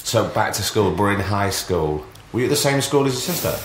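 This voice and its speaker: A man's voice: on the low side, animated, a little fast, roomy sound, a little hiss or hum, poor audio. A man hosting a podcast who asks questions in an easy, encouraging way. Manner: chatty, encouraging interviewer. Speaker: podcast host. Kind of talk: casual interview. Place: office.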